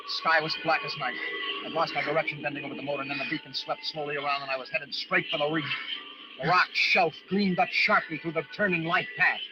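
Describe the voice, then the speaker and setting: An actor playing a desperate lighthouse keeper narrating a storm ordeal in an intense, breathless old-time radio drama style. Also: male voice, a little high, steady tone, fast talker, natural voice. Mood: tense, urgent. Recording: muffled old radio recording with dramatic music.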